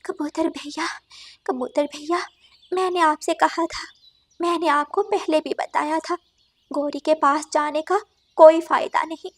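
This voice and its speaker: A woman's voice: high voice, steady tone, measured pace, dry recording. A woman voicing a timid, polite little female songbird in a children's cartoon, anxious and pleading. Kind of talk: Hindi children's cartoon dialogue.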